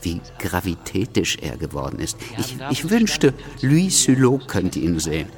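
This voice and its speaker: A disenchanted revolutionary with a lowish, very animated voice. He is mocking and sardonic in radio-drama dialogue, with music behind him. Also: measured pace, exaggerated voice. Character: mocking, disenchanted man gossiping about a solemn revolutionary friend.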